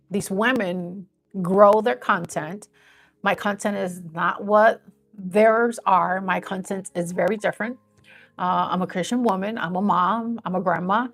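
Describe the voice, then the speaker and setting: A woman's voice: medium pitch, steady tone, unhurried, fairly bright tone, soft background music. A woman in her fifties chatting casually and earnestly on a live stream, rambling a little as she talks about growing her page. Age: 50s.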